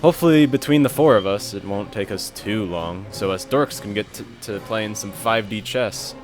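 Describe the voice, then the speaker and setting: A man's voice: fairly low pitch, moderate pace, music in the background, lightly acted. A young man playing an awkward, sarcastic, reclusive gamer in an amateur romantic comedy audio drama. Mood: eager, casual. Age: young adult.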